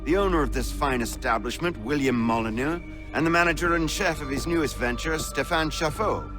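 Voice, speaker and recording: An actor playing a genial, eloquent gentleman revolutionary in a video game, courteous and formal. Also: on the high side, brisk, over music, natural voice.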